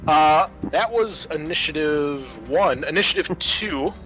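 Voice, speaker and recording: A man's voice: on the high side, lively intonation, slow pace, a bit bright, low-quality recording. A man acting as game master, narrating a tabletop game in a casual, bantering way. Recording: music behind the voice. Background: music.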